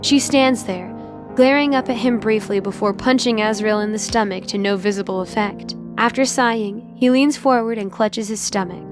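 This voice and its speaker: A woman's voice: mid-range voice, moderate pace, music in the background. A woman reading scripted, dramatic story narration for an audio drama.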